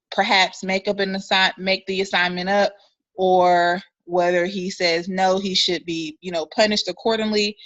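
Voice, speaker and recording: A woman presents to her class over a video call, speaking deliberately and hesitantly, with many "um" pauses. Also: lowish voice, fairly bright tone. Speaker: student presenter. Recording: muffled video call audio.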